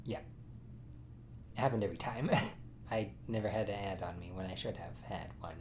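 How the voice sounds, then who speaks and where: A man's voice: low-pitched, normal speed, slight background noise. A man casually telling a personal story in a chatty, self-deprecating way, often laughing at himself.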